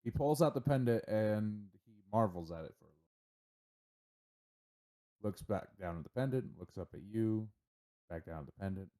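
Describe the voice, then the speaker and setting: A man's voice: on the low side, expressive, normal speed, long pauses, warm tone. A man running a tabletop game, narrating casually and informally as game master.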